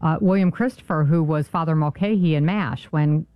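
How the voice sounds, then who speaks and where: A woman's voice: low voice, dry recording. Chatty woman guest, dull lo-fi sound. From Michigan. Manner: chatty, quick agreeing interjections. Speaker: radio show guest. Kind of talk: casual on-air chat.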